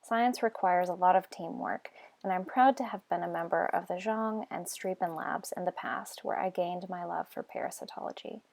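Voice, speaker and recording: An American woman in her thirties, originally from Texas, narrates her own path into science. Her voice sits slightly low and carries a noticeable gravelly fry. The telling is steady and measured, like a prepared personal story. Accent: American, Texan. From Texas, United States. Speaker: lab group leader, researcher.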